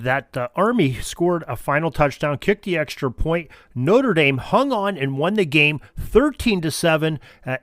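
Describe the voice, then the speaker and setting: A man's voice: on the high side, no echo, clean studio sound. A man hosting a sports history podcast, talking informally in a rambling, folksy way. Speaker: podcast host.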